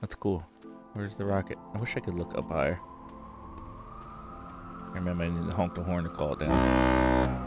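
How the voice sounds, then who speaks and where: A man giving casual let's-play commentary, thinking aloud and rambling with fillers as he goes, his voice going a bit hoarse. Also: sits low, fairly even intonation, normal speed, long pauses, loud music behind the voice. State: losing his voice. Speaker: gameplay commentator.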